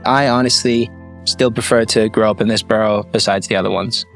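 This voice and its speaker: A man's voice: on the low side, fairly even intonation, fairly quick, gravelly, soft background music. A young man, speaking in an easygoing way in a documentary interview. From Staten Island, New York. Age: 20s. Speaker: local resident interviewee.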